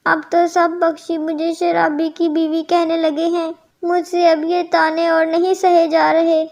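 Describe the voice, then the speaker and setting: A woman's voice: very high voice, completely flat delivery, unhurried, darkish tone. A woman voicing a long-suffering, devoted young wife bird in a cartoon story, earnest in manner. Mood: tearful complaint. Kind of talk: Hindi cartoon story dubbing.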